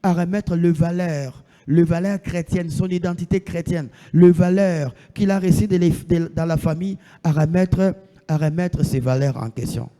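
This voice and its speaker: A man's voice: a little high, moderate pace, mellow, big-room sound. A man in his thirties preaching a sermon, asking the congregation questions and answering them himself, repeating his phrases. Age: 30s.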